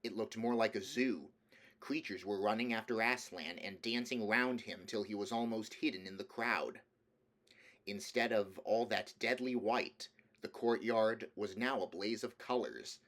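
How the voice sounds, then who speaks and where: A man with a fairly low voice reads a storybook aloud. It is scripted narration, delivered as an audiobook reader would. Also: unhurried, a bit bright.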